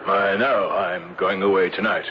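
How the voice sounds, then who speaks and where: An actor playing an earnest, just English gentleman in a radio mystery, speaking in a measured, formal way. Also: low-pitched, steady tone. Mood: guarded, terse. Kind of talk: 1970s radio mystery acting with first-person narration. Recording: dull, noisy old radio broadcast.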